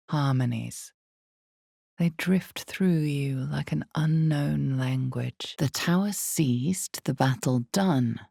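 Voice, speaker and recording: An actress playing an omniscient, grave and slyly knowing narrator of a fantasy role-playing game, speaking in a measured, dramatic way with hushed emphasis. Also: female voice, low voice, creaky, dry recording, clean studio sound, full character voice.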